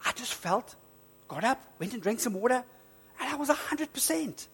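A man preaching a sermon, emphatic and repetitive, slowing down on key phrases, a bit hoarse from flu. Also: extremely high pitch, expressive, fairly bright tone. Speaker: pastor.

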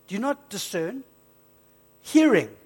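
A man preaching a sermon, emphatic and repetitive, slowing down on key phrases, a bit hoarse from flu. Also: high voice, sing-song, darkish tone. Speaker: pastor.

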